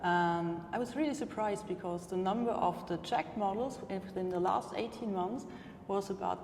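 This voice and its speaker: A woman's voice: mid-range voice, moderate pace, a little mellow. A woman from Austria interviewing a guest, hesitant, with many fillers. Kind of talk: conference interview. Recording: roomy hall with ambient noise.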